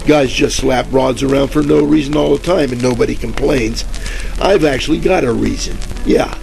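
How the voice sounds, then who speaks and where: A wisecracking private eye from a 1940s radio parody, played by a man. He has a gritty, creaky voice and a deadpan, sarcastic way with overblown similes. Music plays under the crackly old-time broadcast. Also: medium pitch, normal speed. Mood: sarcastic, tough. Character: wisecracking hard-boiled male private detective, bumbling and sarcastic. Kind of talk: 1940s radio detective parody, noir first-person narration.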